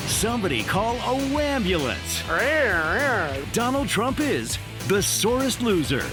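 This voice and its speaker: Music plays clearly behind a man narrating like a trailer announcer. His delivery is mock-dramatic, with a very animated pitch that swings through each line. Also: unhurried.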